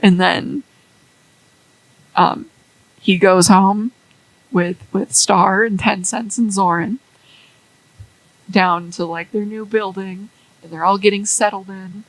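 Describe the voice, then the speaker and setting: A woman's voice: mid-range voice, steady tone, takes it slowly, long pauses, poor audio. A young woman telling a fan story in a rambling, casual way with swearing and fillers.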